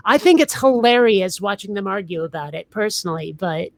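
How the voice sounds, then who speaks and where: A woman cohosting a podcast, talking casually in a chatty, quick-to-react way. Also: mid-range voice, lively intonation, creaky, no room sound. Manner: chatty, self-described manic. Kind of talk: casual chat.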